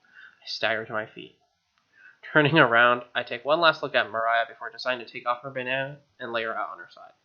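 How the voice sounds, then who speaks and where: A man's voice: medium pitch, fairly quick, highs cut off. A man hosting a let's play, reading game text expressively with wry, casual asides.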